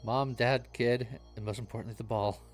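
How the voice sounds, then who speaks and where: A man's voice: medium pitch, fairly even intonation, moderate pace. Give me a male streamer doing a dramatic read of game text with casual joking asides over game music. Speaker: streamer reading game dialogue aloud. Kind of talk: live game stream, dramatic reading mixed with joking chat.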